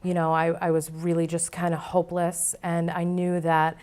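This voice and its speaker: Woman, interview guest, clear smooth voice, flat intonation, candid, conversational personal account. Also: lowish voice, normal speed. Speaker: interview guest, former client now board member.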